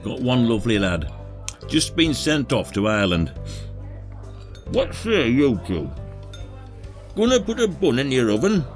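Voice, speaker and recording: An actor playing a blunt, shrewd British police detective in an audio drama, with a colloquial, needling delivery. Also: male voice, mid-range voice, big pitch swings, a little slow, long pauses, soft background music. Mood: chatty. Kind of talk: radio drama acting.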